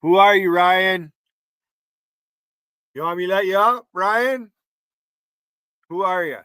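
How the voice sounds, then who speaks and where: A man's voice: high-pitched, slow pace, pushed and bright, clear, resonant tone, dry recording, clean studio sound. A man in his fifties with a northern New York accent, hosting a livestream chat in a blunt, rambling way and swearing freely. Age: 50s. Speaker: livestream host. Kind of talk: casual chat.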